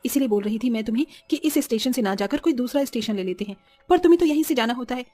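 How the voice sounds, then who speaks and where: A woman voicing a jealous, insistent village wife and mother in an animated horror story. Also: fairly high pitch, rapid-fire. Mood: scolding. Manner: scolding, insistent.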